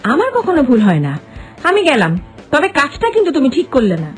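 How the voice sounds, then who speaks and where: A woman's voice: sits high, wildly swooping pitch, fairly quick, faint music behind. A woman voicing a possessed young woman in a cartoon, speaking in an insistent, pushy, defensive way.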